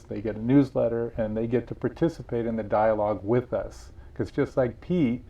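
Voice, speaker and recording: A man's voice: mid-range voice, gravelly, highs cut off. A man being interviewed about his book, telling stories earnestly with frequent restarts. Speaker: author and guest. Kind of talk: interview conversation.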